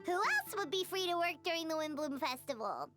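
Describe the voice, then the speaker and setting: A woman voicing a tiny, cheerful, childlike companion character in a video game, with a squeaky, excitable delivery. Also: fairly quick. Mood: matter-of-fact. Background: game music.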